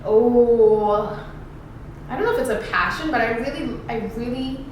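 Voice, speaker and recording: A woman's voice: on the high side, a little slow. A young woman from Ghana chatting casually and playfully, rambling with lots of fillers as she answers questions. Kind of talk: casual get-to-know-me chat. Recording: echoey room, distant mic, background noise.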